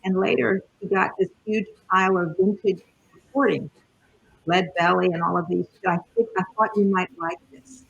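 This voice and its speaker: Creaky-voiced middle-aged woman reminiscing. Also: mid-range voice, fairly even intonation, moderate pace, dull audio. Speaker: panel guest, journalist. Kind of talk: personal reminiscence in a tribute.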